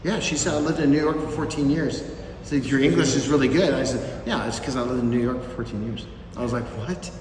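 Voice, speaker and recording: A man's voice: mid-range voice, fast talker, hardly any pauses, darkish tone, recorded from a distance, a little hiss or hum. Rambling man in an echoey hall. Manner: rambling with fillers and repeats, storytelling. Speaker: mission volunteer. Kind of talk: casual group recap.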